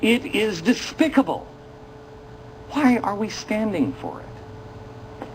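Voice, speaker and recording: Animated, indignant man hosting political commentary. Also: sits high, a little hiss or hum, rough recording. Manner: dramatic, indignant, sarcastic. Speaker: host of a political commentary show. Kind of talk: opinionated political commentary to viewers.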